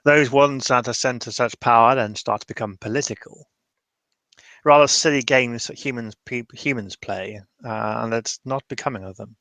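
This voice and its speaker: Man acting out world-weary male mage, wry, grumbling, rambling, medium pitch, close dry recording, tabletop roleplay. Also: normal speed, fairly bright tone, no room sound, natural voice. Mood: wry, opinionated. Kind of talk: improvised tabletop roleplay, in character.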